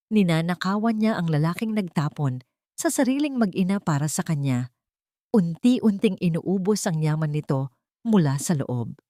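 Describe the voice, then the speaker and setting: A woman's voice: lowish voice, darkish tone, no room sound, studio quality. A woman with a Filipino accent reading a dramatic scripted love story as narrator.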